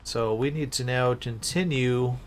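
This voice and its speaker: A man doing casual livestream game commentary, musing aloud haltingly and breaking into exclamations. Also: mid-range voice, slight background noise. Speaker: game streamer.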